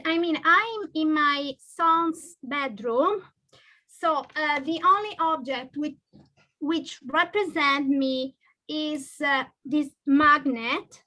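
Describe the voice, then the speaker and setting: A woman from Italy, an English teacher, introducing herself slowly and hesitantly in a video call. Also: high-pitched, bright, forceful tone, poor audio. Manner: slow, hesitant, repeats words.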